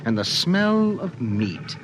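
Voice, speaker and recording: A man narrating a 1950s radio drama in a vivid, deliberate, theatrical storytelling manner. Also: mid-range voice, very animated, background noise. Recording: vintage radio recording with music behind. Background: music.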